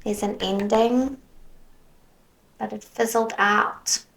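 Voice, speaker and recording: A woman giving a tarot reading, musing softly as she goes and sometimes slipping into a whisper, her voice worn as though she is losing it. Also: mid-range voice, fairly even intonation, gravelly, rough recording. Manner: slow, soft, musing, drifts into whispers. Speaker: tarot reader.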